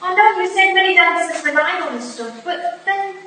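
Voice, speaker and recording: A woman's voice: very high-pitched, animated, normal speed, sharp tone, full character voice. A woman playing an earnest, inquisitive young female apprentice detective, deferential to her mentor, in a staged reading of a Victorian drama. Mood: curious, puzzled. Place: live staged reading in a roomy indoor venue. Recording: distant, echoey hall, faint noise.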